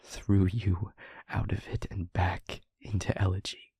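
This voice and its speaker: A low, gravelly demon played by a man confesses in a very breathy half-whisper. He is wounded and haunted, tender in his sorrow, letting each short phrase fall slowly and solemnly, as in an intimate audio roleplay. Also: flat delivery, dark tone, no room sound, heavily acted. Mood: solemn, pained. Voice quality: breathy, husky near-whisper with gravelly edge. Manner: slow, halting, solemn delivery in short phrases.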